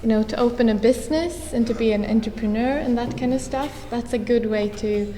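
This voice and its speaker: Swedish girl, 18, kinda high but mellow voice, echoey hall. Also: a little fast. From Sweden. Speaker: exchange student. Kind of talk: casual group discussion. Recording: echoey hall with faint noise.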